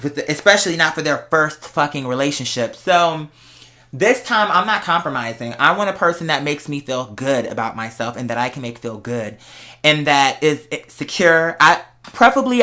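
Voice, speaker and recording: Bright-voiced, candid American vlogger. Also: medium pitch. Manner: candid, slangy, emphatic. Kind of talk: casual confessional vlog chat to followers.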